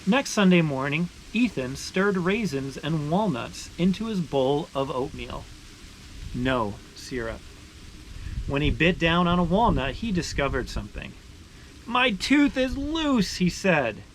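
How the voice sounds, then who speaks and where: A man's voice: fairly high pitch, a little slow, poor audio. Man reading a children's story, background noise. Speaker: storyteller reading a picture book aloud. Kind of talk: children's read-aloud narration. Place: beside a boiling maple sap evaporator. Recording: steady ambient background noise.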